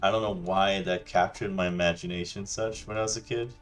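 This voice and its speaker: Guy ranting casually, low voice, rambly and chill, lots of you know. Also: steady tone, a little fast, faint music behind. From Denmark. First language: Danish. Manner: rambling, loose and chatty, many restarts and "you know". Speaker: gaming channel host. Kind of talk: casual rant over gameplay.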